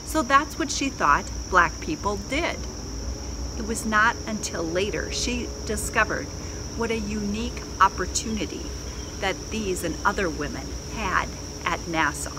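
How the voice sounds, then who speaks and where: A middle-aged woman pastor preaches in measured, deliberate phrases. Her voice sits at an ordinary pitch, and there is clear outdoor noise of insects and traffic behind her. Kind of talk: sermon. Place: outdoors in a leafy garden.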